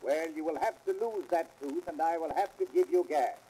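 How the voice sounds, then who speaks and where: An actor playing a polite, businesslike dentist with formal, precise phrasing in an early comic record sketch. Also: male voice, fairly high pitch, fairly quick, dark tone, breathy edge, low-quality recording. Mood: reassuring. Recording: hissy old 78 rpm comedy record.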